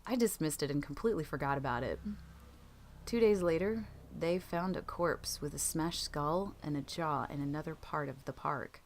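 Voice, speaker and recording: A woman's voice: deep voice, normal speed, slight background noise. A woman reading scripted true scary stories as a storytelling narrator.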